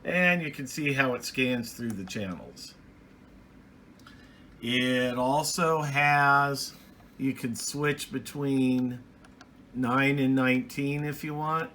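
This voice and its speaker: Slow-talking man with a bright voice. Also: mid-range voice, slight background noise. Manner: unscripted, halting with restarts and trailing phrases. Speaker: radio hobbyist reviewer. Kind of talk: casual hands-on product review.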